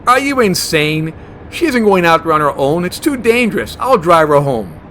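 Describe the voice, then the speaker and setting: A man's voice: a little high, very animated, moderate pace, pushed and bright, slight background noise. A man acting a fussy, stubborn monster hunter in a comedy horror audio drama, earnest and argumentative. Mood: flustered. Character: fussy germ-phobic monster hunter, male, stubborn and smooth-talking.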